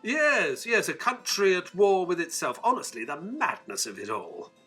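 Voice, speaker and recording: A man playing an earnest, excitable Victorian gentleman, a detective's companion and narrator, in an audio drama. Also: high voice, normal speed, soft background music, heavily acted. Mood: exasperated.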